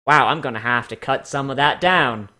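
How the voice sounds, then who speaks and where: A man's voice: medium pitch, normal speed, bright, forceful tone. A man ranting casually as the host of a reading show, rambling, swearing and exasperated with heavy emphasis.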